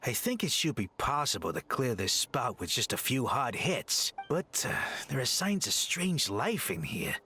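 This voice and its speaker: A man's voice: mid-range voice, sing-song, measured pace, hardly any pauses, pushed and bright, breathy edge, heavily acted. A man voicing a timid, easily startled young male worker in a video game, hesitant and jumpy. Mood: wary, hesitant. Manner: hesitant, jumpy, prone to yelps.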